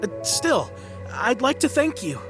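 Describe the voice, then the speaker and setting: A woman's voice: on the high side, sing-song, measured pace, music in the background. An actress playing an anxious young woman who fears she is cursed, speaking in a hesitant, earnest way. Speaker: client who believed she was cursed. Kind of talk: video game voice acting.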